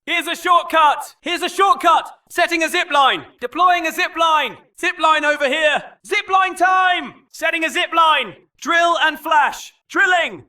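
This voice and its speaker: A man playing a flamboyant, excitable arena combatant in a video game, throwing out exuberant, punchy callouts in short bursts. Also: extremely high pitch, a little slow, raised voice, full character voice. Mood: excited, urgent.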